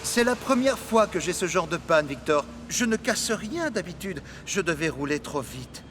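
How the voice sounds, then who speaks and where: A man's voice: sits high, very animated, moderate pace, noisy background. A man playing an anxious, earnest young locomotive in a French children's cartoon. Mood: worried. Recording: loud music behind the voice.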